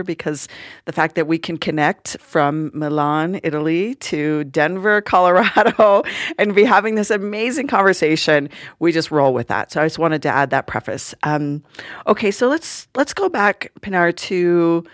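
A woman's voice: sits low, no echo, studio quality. A woman hosting a podcast interview in a casual, affirming, informal way. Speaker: podcast host.

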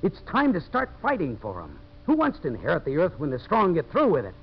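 A tough, determined American hero of a vintage radio play, in a fairly high male voice. His intense delivery swings widely in pitch, on a muffled, slightly hissy old broadcast recording. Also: wildly swooping pitch, fairly quick, creaky, slightly rough, heavily acted. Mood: impassioned. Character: tough, determined man turned earnest champion of settlers. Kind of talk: 1950s American radio drama acting.

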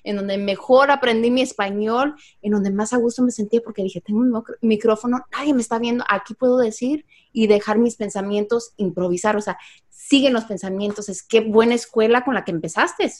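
A woman's voice: on the high side, a little fast, darkish tone. A woman hosting an interview, asking questions in an admiring, effusive way. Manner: admiring, effusive, spiritual framing. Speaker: interviewer, host. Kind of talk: conversational interview.

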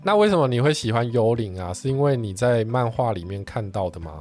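A man co-hosting a chat with children, asking gentle, curious follow-up questions. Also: mid-range voice, animated, brisk, faint music behind. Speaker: co-host.

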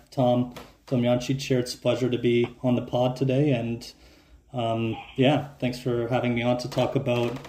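A man, a podcast guest who grew up speaking Croatian, talking in a relaxed, thoughtful way with many fillers. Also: mid-range voice, reverberant. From Canada. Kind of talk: casual podcast chat.

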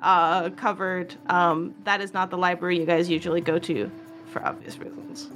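A woman narrating and running a tabletop game as game master, lively and laughing often. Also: fairly low pitch, moderate pace, over music.

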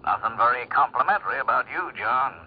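A man's voice: medium pitch, animated, fast talker, close to an everyday voice. An actor in a radio drama playing a polite, hesitant businessman making a long-distance call. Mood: grave, uneasy. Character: businesslike male associate calling long-distance, uneasy and polite. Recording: tinny, hissy telephone-style radio sound.

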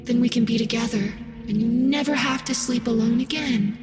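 A man's voice: very high voice, fairly even intonation, moderate pace, pitched above the performer's own voice. A man voicing a sinister living girl doll with a small, sweet voice and a whining, coaxing manner. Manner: whiny, pleading. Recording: long hall reverb, loud music behind.

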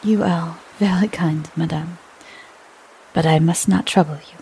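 A woman doing a hushed, uneasy old village priest. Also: on the low side, steady tone, gravelly, a little hiss or hum, poor audio. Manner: hushed, whispering, slow and hesitant. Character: timid elderly male village priest, uneasy.

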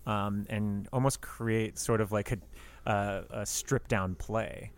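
A man co-hosting a podcast, chatting casually about film in a halting, rambling way. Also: on the low side, fairly even intonation. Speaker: podcast co-host.

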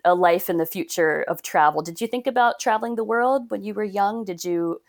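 An upbeat woman hosts a podcast interview, introducing her guest and asking questions with enthusiasm. Her voice sits at a middle pitch. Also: brisk. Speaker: podcast host. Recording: intro with soft guitar music.

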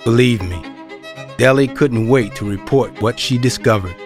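A man's voice: medium pitch, moderate pace, soft background music. A man narrating a scripted audio drama in a wry storytelling manner.

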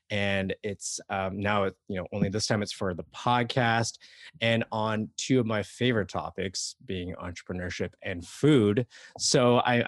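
A man's voice: on the low side, no echo, clean studio sound. A man hosting a podcast interview from Toronto. He reads a scripted introduction, then asks casual questions full of fillers. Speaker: podcast host. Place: Toronto, remote call.

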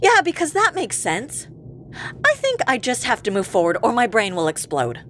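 A woman's voice: fairly high pitch, huge pitch swings, normal speed, fairly bright tone, a little hiss or hum. An actress playing an exasperated, sarcastic woman boss in a comedy audio drama, piecing together a fiasco. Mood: sarcastic disbelief.

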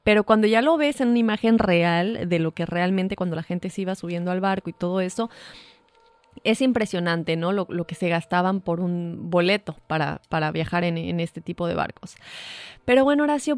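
A woman's voice: lowish voice, a little mellow, no echo. A woman, co-hosting a casual chat and telling a story with many fillers. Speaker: podcast co-host.

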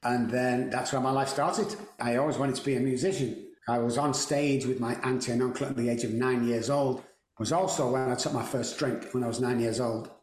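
Man in his 60s, Mancunian accent, chatty and wry, roomy echo, mid-pitched voice. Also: steady tone, fairly quick. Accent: Mancunian English. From England. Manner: chatty, joking, self-deprecating. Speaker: podcast guest. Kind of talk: casual storytelling interview.

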